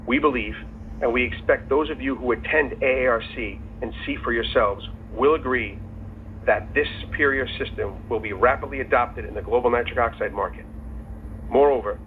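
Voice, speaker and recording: A man in the role of company executive gives a scripted earnings call presentation in a formal, measured way. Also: mid-range voice. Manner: formal, measured reading of prepared remarks. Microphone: telephone conference call. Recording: tinny phone line with faint noise.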